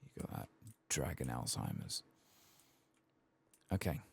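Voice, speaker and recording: A man speaking softly in a husky, gravelly voice, giving quiet, unhurried commentary as he streams a game playthrough. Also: rumbling low, sing-song. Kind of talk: soft-spoken ASMR game playthrough commentary.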